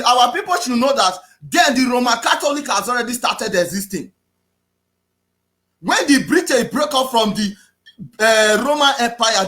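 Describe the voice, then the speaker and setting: A man with a Nigerian accent giving an impassioned political talk, repeating himself and often raising his voice to a shout. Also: very high voice. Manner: preachy, repetitive, often shouting. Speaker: activist speaker.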